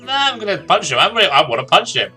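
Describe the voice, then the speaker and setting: Bright-voiced man doing let's play commentary. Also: sits high, sing-song, fast talker, faint music behind. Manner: jokey, casual, talks to himself and to the game. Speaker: gaming streamer. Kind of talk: let's play commentary, reading game dialogue aloud.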